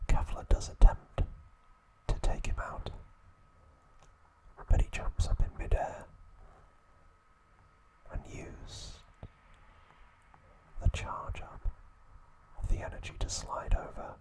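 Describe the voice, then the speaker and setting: A man narrating trivia as an ASMR host in a breathy, hushed whisper, in short measured phrases. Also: low-quality recording.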